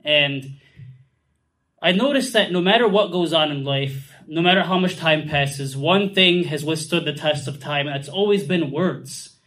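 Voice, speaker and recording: A man with a naturally loud voice, a featured poet speaking earnestly and reflectively to an audience about writing. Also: a little high, unhurried.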